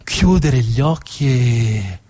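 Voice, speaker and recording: A man's voice: mid-range voice, lively intonation, slow, dark tone, husky. A man narrating as a stage storyteller, dramatic in delivery and repeating words for emphasis.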